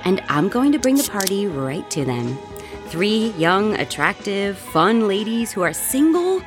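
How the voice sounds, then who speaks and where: A woman playing an excitable, bold young roommate who spins gossip dramatically in a horror audio drama. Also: mid-range voice, measured pace, music in the background. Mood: thrilled, scheming. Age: young adult. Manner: animated, teasing, dramatic storytelling.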